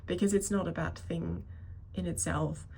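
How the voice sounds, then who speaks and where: A woman's voice: on the low side, lively intonation, moderate pace, dark tone, slightly rough. A woman in her thirties giving an unscripted personal vlog monologue, speaking hesitantly and often circling back to correct herself. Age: 30s. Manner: hesitant, rambling, self-correcting. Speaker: video creator talking to viewers.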